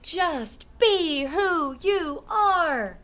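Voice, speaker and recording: A woman in her thirties, a teacher, reading a picture book aloud to young children in a classroom with a slow, sing-song storytime delivery. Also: very high-pitched, expressive, a bit bright, a little hiss or hum. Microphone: webcam at desk.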